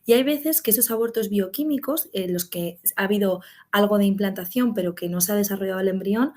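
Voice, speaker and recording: A woman's voice: medium pitch, fairly quick, warm tone. A woman gynecologist from Spain gives an online medical talk over a video call. She speaks conversationally, with many fillers and tag questions, in an ordinary mid-range voice. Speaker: gynecologist and clinic medical director.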